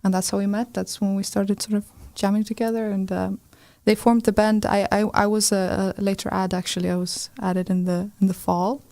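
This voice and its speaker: A woman from Iceland, a classically trained flutist, talking in a friendly, measured way in a radio studio. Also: mid-range voice, flat delivery, gravelly, warm tone, no room sound. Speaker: flutist guest. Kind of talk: casual interview chat.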